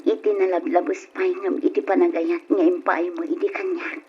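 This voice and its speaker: An Ilocano woman acting a self-sacrificing young woman torn by forbidden love in a radio drama, pleading in a breathy, emotional way. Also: fairly low pitch, steady tone, normal speed. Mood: tearful, earnest. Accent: Filipino, Ilocano. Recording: muffled, noisy old radio drama recording.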